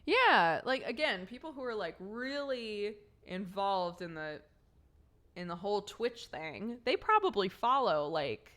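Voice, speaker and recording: A woman's voice: mid-range voice, expressive, measured pace, a bit bright. A young woman streaming, chatting casually with viewers, slightly congested from a cold, rambling and laughing often.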